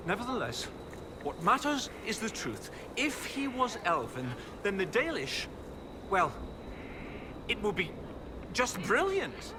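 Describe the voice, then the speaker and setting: An actor playing an excitable, wordy scholar in a fantasy game, speaking in a fussy, animated manner. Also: male voice, high voice, background noise. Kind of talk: fantasy video game acting.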